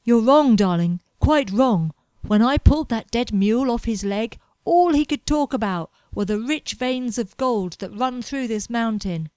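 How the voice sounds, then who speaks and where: A woman voicing a pompous, melodramatic young man chasing gold, complaining loudly about everything around him. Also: fairly high pitch, expressive, a little slow, smooth, no echo, voice pushed up. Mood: desperate. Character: anxious, pompous young male fortune hunter.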